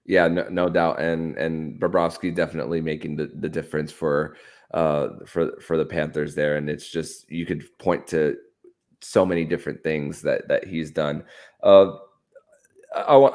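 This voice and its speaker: Deep-voiced, halting male podcast host. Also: fairly even intonation, darkish tone. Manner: halting, stammering repetition of words. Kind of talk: conversational sports analysis.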